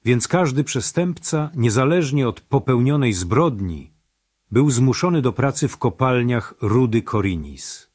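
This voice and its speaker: A man's voice: mid-range voice, lively intonation, a little slow, a little mellow, no room sound, clean studio sound. A man playing a powerful mage who narrates the history of a kingdom in an audio drama. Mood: solemn. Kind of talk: scripted fantasy prologue narration.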